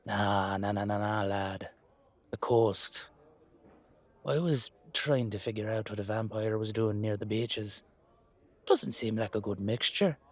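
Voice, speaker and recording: Guy doing a roguish old irish leprechaun for audio rp, husky, blunt, always needling his pals. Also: lowish voice, fairly even intonation, measured pace. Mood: playful. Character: cheeky, teasing centuries-old male leprechaun. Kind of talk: roleplay audio drama.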